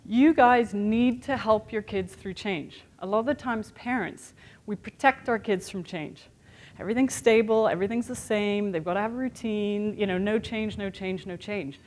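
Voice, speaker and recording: A woman's voice: mid-range voice, moderate pace, a little mellow. A woman in her thirties with a mixed international accent, giving a candid, conversational keynote talk to an audience in a hotel conference room. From born in the United States, raised in Europe and Asia. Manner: conversational and candid, with many "sort of" and "you know" fillers. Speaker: keynote speaker.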